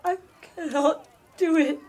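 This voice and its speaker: Desperate royal wife and mother, played by a woman: very high-pitched, slow, anguished pleading, melodramatic audio drama. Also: darkish tone.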